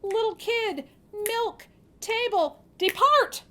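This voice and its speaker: A woman plays a sweet, hopeful young girl from a storybook. Her voice sits very high and lilts in an emphatic singsong, with big swings in pitch. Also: lively intonation, takes it slowly, bright, forceful tone, heavily acted. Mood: chanting.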